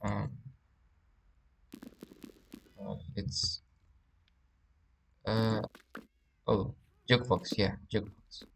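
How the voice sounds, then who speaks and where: A man with a Spanish accent, a native Spanish speaker, giving a casual game tour and often pausing to search for words. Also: lowish voice, level tone, gravelly, slight background noise, poor audio. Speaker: let's play creator.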